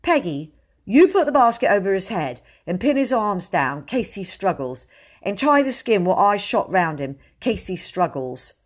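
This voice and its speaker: A woman's voice: mid-range voice, sing-song, normal speed, pitched above the performer's own voice. Woman as a bold, bossy small boy. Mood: commanding. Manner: dramatic, self-important, commanding. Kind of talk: storybook character voice.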